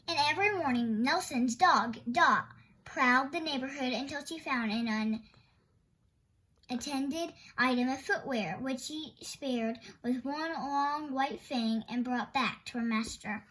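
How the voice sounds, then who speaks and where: A woman's voice: high voice, unhurried, fairly bright tone, slight background noise, rough recording. A woman storyteller reading a children's picture book aloud expressively.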